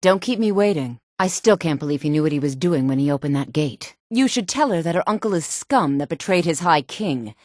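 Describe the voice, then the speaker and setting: A woman's voice: animated, a little fast, hardly any pauses, darkish tone, dry recording, studio quality. An actress playing a sultry, hard-edged, guarded woman in a fantasy video game, with cool, pointed delivery. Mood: scornful. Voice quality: sultry, low-pitched. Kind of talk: video game character voice lines.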